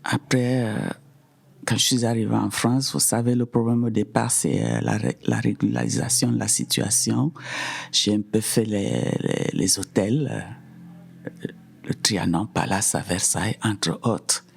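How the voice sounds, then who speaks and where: A middle-aged woman, giving personal testimony in a halting way, often repeating words. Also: very deep voice, expressive, gravelly, husky. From Cameroon. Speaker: podcast guest.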